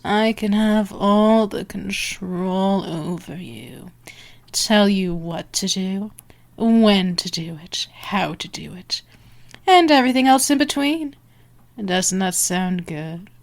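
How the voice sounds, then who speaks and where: A woman performs a possessive female vampire for an ASMR roleplay. She speaks very slowly with a gravelly fry at a medium pitch, teasing and taunting, sliding from breathy whispers into sharp, commanding jabs. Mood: seductive, domineering.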